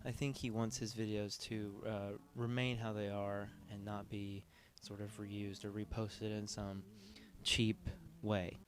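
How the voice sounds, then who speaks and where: A man's voice: lowish voice, little pitch movement, a little slow. A man hosting a video commentary in a casual, conversational, informal way. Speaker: video essay host.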